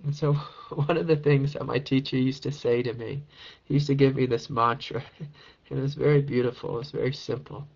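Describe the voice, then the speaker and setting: A man with a raspy voice, a meditation teacher answering a question in a calm, encouraging spiritual talk. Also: mid-range voice, fairly quick, highs cut off. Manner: gentle, calm and encouraging, with soft laughs.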